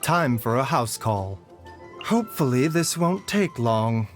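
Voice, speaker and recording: A gentle, scholarly doctor from a video game, speaks with polite, measured formality. His mid-pitched voice moves expressively over music. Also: big pitch swings. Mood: calm, welcoming. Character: gentle, courteous adult male doctor, calm and scholarly. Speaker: game character voice actor. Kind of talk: scripted game voice lines. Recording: music behind the voice.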